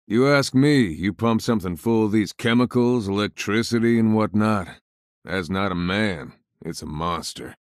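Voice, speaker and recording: Cocky southern us cowboy gunslinger, low drawl, slow but bouncy, game character. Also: male voice, no room sound, studio quality. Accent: American Southern. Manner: drawling, wry, folksy. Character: weary, wry American gunslinger adventurer, laid-back and cocky. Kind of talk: video game character voice lines.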